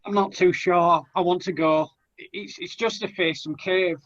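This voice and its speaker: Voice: medium pitch, fairly even intonation, unhurried, a bit bright, dull audio, lightly acted. A performer playing a poor, lovesick and timid lad, the hero of a rhyming pantomime, speaking earnest, plaintive verse. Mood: nervous.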